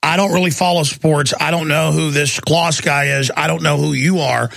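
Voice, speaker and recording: A man's voice: on the high side, steady tone, moderate pace, creaky, a bit bright, no echo. An American man hosting a live political call-in radio show, talking in an emphatic, opinionated way. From United States. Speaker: talk radio host.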